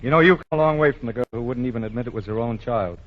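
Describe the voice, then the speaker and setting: A man's voice: medium pitch, racing, phone-quality sound, slight background noise, close to an everyday voice. An actor playing a wry young male executive with dry, bantering talk, on an old 1940 radio broadcast.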